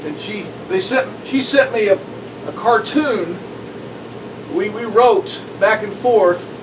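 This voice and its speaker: Slow-talking pastor with a high voice. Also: male voice. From southeast Missouri. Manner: unhurried, friendly storytelling. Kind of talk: casual conversation. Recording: distant mic with faint background noise.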